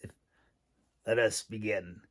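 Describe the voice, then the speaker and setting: A man giving an informal, rambling critique as a host, speaking haltingly with long pauses and repeated words. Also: fairly low pitch, fairly even intonation, a bit bright, slightly rough. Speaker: host, independent fantasy writer and audiobook reader giving critique.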